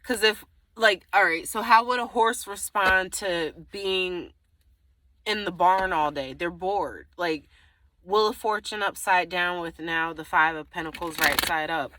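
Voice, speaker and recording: A woman's voice: fairly low pitch, unhurried, pushed and bright, dry recording. A woman giving a casual, improvised tarot reading to the viewer, thinking aloud in a rambling way, often trailing off and circling back. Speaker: tarot reader.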